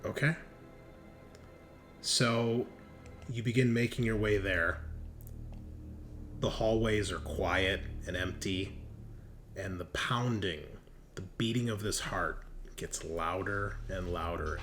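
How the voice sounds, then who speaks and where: A man's voice: lowish voice, fairly even intonation, a little slow, a bit bright, soft background music. A man running a horror tabletop roleplaying game as game master, narrating descriptively and suspensefully.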